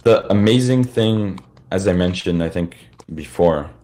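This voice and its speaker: Man, very low pitch, worn-out voice; loose, filler-heavy live lecturer. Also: measured pace, dark tone. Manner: casual, rambling, many fillers and restarts. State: tired, worn-out voice. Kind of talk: informal live lecture to listeners.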